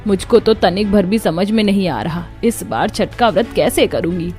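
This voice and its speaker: A woman's voice: mid-range voice, lively intonation, moderate pace, darkish tone, faint music behind, lightly acted. Woman voicing a weary, devout old village mother-in-law. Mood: weary, plaintive. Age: older. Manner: weary, plaintive, stubbornly devout.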